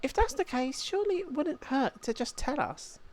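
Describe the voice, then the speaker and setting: A man's voice: extremely high pitch, very animated, normal speed, pitched above the performer's own voice, heavily acted. A man voicing an eager, polite young boy who is easily indignant, in a video game dub. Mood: anxious, polite.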